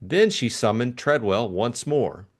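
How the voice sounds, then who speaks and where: A man narrating a classic novel as an expressive audiobook reader. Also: medium pitch, measured pace.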